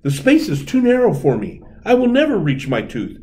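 Man playing an excitable storybook Tooth Fairy, pitched up. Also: normal speed. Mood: dismayed. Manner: exclamatory, animated storybook delivery. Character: dainty, excitable, bossy female Tooth Fairy.

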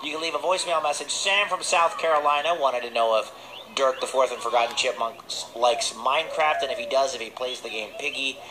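A man's voice: mid-range voice, a little fast. A man hosting children's radio, presenting and bantering in a casual live style. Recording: noisy recording off a speaker.

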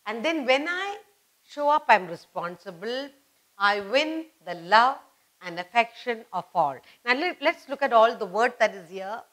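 Middle-aged woman, Indian accent, children's teacher, very slow delivery, fairly high pitch, emphatic and repetitive. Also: lively intonation, fairly bright tone, rough recording. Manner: emphatic, simple repeated phrases with rhetorical questions. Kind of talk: instructional lesson for young children. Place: indoor studio at a whiteboard.

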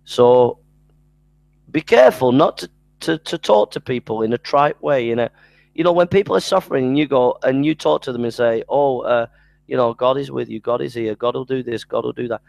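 Middle-aged male lecturer, earnest, gravelly voice, dry headset sound. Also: medium pitch, brisk, a little mellow, no echo, low-quality recording. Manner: earnest, repeats scripture references, stumbles and restarts. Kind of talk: recorded Bible lecture. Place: small room at home. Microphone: headset mic.

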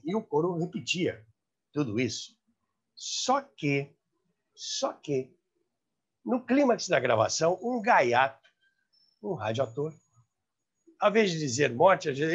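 A man's voice: on the high side, husky, dull audio. A man in his seventies with a Brazilian accent, hosting with theatrical, emphatic storytelling to camera. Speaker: host and storyteller. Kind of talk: animated anecdotal storytelling to camera. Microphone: clip-on lapel mic.

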